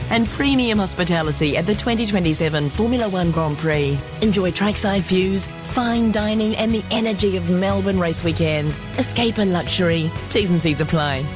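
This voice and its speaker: Female ad VO, low voice, smooth upmarket read over music. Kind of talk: polished radio advert voice-over.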